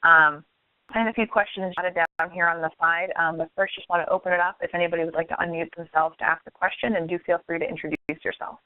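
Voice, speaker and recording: A woman's voice: on the low side, fairly even intonation, fast talker, no echo. A woman moderating a webinar informally, polite and tentative in her phrasing, heard over a tinny phone line. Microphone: phone or conference line.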